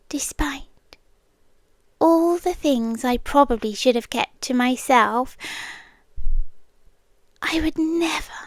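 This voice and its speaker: Brief: young British woman, high voice, very slow soft whispers, dry close-mic ASMR roleplay. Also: no echo. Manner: soft whispery intimate delivery. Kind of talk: scripted ASMR girlfriend roleplay.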